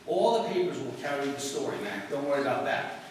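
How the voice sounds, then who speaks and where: A man's voice: medium pitch, sing-song, fast talker, natural voice. A man playing a brash, wisecracking newspaper reporter in a 1940s-style radio drama. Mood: cocky, needling. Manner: cocky, wisecracking. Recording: distant echoey hall, faint noise.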